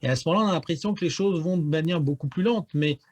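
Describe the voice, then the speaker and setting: A man's voice: a little high, quick pace. A man giving analysis as a guest pollster in a radio interview, explaining things with frequent stammered repeats.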